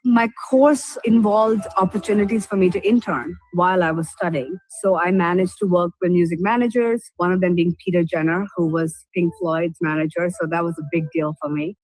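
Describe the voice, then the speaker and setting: A woman's voice: on the low side, fairly even intonation, moderate pace, few pauses, darkish tone, rough recording. A young woman, giving a personal testimonial as a graduate who studied abroad. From India. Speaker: business graduate, study-abroad alumna.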